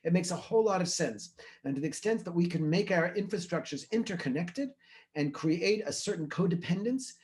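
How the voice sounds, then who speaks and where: A man's voice: high voice, animated, a little fast, a little mellow. A middle-aged man from Israel, a researcher answering interview questions in an earnest, thoughtful way with many fillers and restarts. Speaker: guest speaker, environmental researcher.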